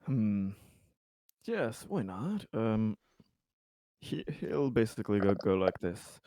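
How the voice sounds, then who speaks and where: Lively, playful male player. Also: on the low side, takes it slowly, no echo, studio quality. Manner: playful, laughs while talking. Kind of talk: casual chat in a tabletop game.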